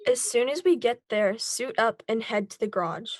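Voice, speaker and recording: A woman playing a brisk, commanding superhero team leader in an amateur table read. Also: fairly high pitch, expressive, no echo, lightly acted. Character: Rory, bossy no-nonsense female werewolf superhero team leader.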